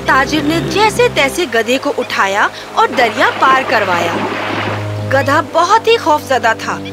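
A woman's voice: high-pitched, very animated, over loud music. A woman reading a scripted children's story as the narrator.

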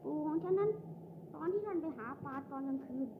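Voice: sits high, fairly quick, phone-quality sound. A child acting out playful, improvised cartoon story dialogue between several characters, switching from one to another. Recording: noisy home recording.

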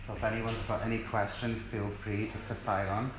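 A man's voice: lowish voice, little pitch movement, moderate pace. A middle-aged Scottish man giving an informal hairdressing demonstration in a friendly, explanatory way. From Scotland, born in South Africa. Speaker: hairdressing educator. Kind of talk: informal live tutorial demonstration. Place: indoors in a hair salon. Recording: echoey room with clear background noise.

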